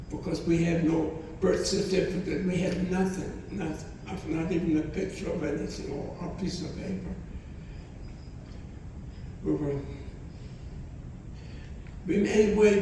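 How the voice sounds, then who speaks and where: An elderly man in his nineties, speaking English as a second language, tells his story slowly with many restarts and repeated phrases. His voice is mellow and fairly high for a man. He is recorded from a distance in a large echoing hall with faint room noise. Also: fairly even intonation. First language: not English. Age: 90s. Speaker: Holocaust survivor speaker. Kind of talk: testimony to students. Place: college hall. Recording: echoey hall from far off.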